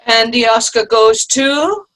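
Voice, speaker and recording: A woman playing a sharp-tongued, sarcastic older friend in a comic play reading. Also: fairly high pitch, lively intonation, slow pace, low-quality recording. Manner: dry, sarcastic, needling. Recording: video call play reading.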